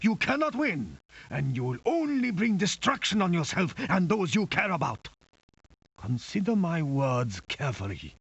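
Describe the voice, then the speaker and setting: A man's voice: a little high, big pitch swings, normal speed, gravelly, slightly rough, heavily acted. A man playing a cold, grandiose cult crime lord in a video game, formal and threatening in a theatrical way. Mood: cold threat. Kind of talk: villain dialogue in a video game. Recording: dull, lo-fi compressed game audio.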